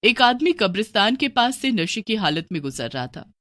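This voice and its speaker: A woman's voice: medium pitch, very animated, normal speed, fairly bright tone, dry recording, clean studio sound. A woman narrating a scripted horror story for a cartoon, in a storyteller's style.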